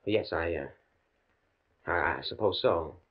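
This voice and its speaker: An actor playing an anxious, principled professor in 1950 radio drama style, hesitant, stammering and earnest. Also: male voice, sits low, takes it slowly. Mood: nervous, evasive. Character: anxious, principled male professor trapped by blackmail. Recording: muffled old radio recording.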